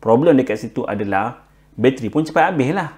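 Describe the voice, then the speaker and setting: A man with a Malaysian accent explaining tips informally and unscripted, pausing on fillers, repeating himself and mixing in English words. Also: medium pitch, expressive, a little mellow. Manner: halting, frequent filler words and repetition; code-switches with English words. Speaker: presenter giving buying tips.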